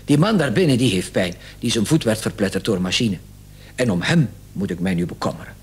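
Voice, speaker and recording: An actor playing a curt, dismissive hospital doctor in a radio drama, with a husky voice. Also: male voice, fairly low pitch, animated, brisk, a little hiss or hum. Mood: brusque. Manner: curt, businesslike.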